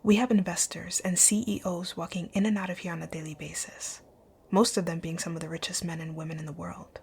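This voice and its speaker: A woman playing a rich, dominant company owner in an ASMR roleplay, speaking in a composed, commanding, breathy near-whisper. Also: on the low side, heavily acted. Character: rich, bossy, dominant female company owner, curt yet flirtatious. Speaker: audio roleplay voice actor. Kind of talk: ASMR roleplay, scripted one-sided dialogue.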